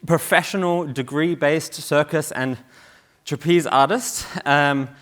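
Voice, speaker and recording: A man's voice: on the high side, slow, fairly bright tone. Joking man on a conference stage. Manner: joking, chatty, many fillers. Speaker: conference speaker. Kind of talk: casual joking stage talk. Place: large conference hall on stage. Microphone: stage mic.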